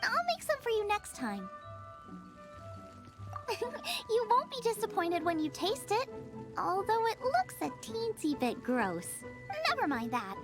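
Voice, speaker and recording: A super high perky teen girl chef for an anime game, swoopy and excitable, music behind. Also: unhurried, full character voice. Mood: confident, playful. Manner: perky, enthusiastic, playful.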